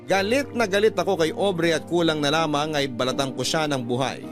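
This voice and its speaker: A man's voice: fairly high pitch, brisk. A man narrating a first-person confessional letter in a dramatic radio storytelling style. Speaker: narrator reading a listener's letter. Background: background music.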